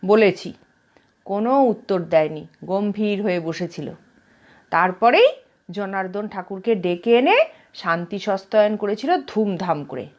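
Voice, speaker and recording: A woman's voice: medium pitch, animated, a little slow, lo-fi sound, natural voice. A woman voicing a fearless, plain-spoken older widowed aunt in a story, exclaiming and asking dramatic questions. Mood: reminiscing.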